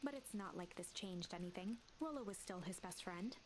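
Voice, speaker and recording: A woman narrating a story game in a calm, measured scripted read. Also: on the low side, background noise.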